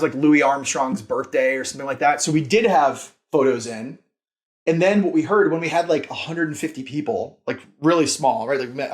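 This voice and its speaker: A man with a slightly high-pitched voice talks as a podcast guest. He is informal and enthusiastic, explaining things in a casual, emphatic interview style. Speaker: podcast guest, company founder and CEO.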